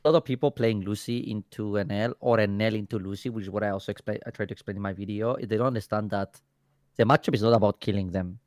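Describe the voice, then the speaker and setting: A man from Greece with a Greek accent, co-casting a card game tournament in casual, excitable talk that often restarts phrases. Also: lowish voice, quick pace, dry recording, studio quality. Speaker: co-caster.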